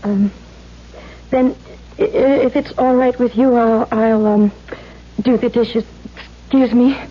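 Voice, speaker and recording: Casting: timid, anxious young woman, dutiful daughter, high voice, faltering delivery; vintage radio drama, muffled sound. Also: fairly even intonation, slow. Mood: frightened, faltering. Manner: hesitant, stammering, anxious. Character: timid, beaten-down younger sister and dutiful daughter, around thirty. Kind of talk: 1970s radio drama acting. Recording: dull vintage radio recording.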